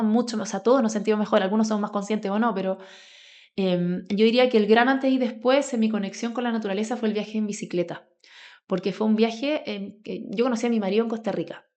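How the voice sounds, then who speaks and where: A woman's voice: mid-range voice, a little mellow. A Chilean woman talking conversationally as a podcast guest, telling personal stories in a colloquial way full of asides. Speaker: podcast guest, grounding advocate.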